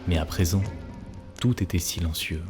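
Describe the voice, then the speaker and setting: A man narrating a cartoon story from a script in a solemn, measured way. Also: sits low. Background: music.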